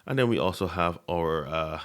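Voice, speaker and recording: Guy with a really deep low voice reviewing a mic at his desk, stutters and restarts a lot. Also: animated, normal speed, fairly bright tone. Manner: stutters, repeats and breaks off words. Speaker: tech reviewer. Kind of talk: casual product review. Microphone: desk microphone under review.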